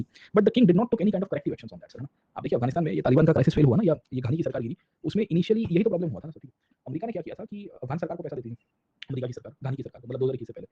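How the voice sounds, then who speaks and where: A man's voice: fairly high pitch, slightly rough. A man with an Indian accent giving an informal history lecture, switching between two languages and constantly tossing in check-in questions and tag words. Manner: mixes Hindi and English. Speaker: history teacher. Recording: dull lo-fi audio.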